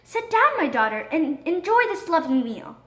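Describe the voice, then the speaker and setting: Storybook role: doting father king who loves gold, high and animated played by a woman, echoey room. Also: moderate pace, heavily acted. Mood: inviting. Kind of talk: children's storybook character voice. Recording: roomy echo.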